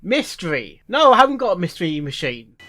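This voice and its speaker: A man giving casual reaction commentary in a halting way, repeating phrases and musing aloud in questions. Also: high-pitched, sing-song, background noise. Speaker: reaction video host.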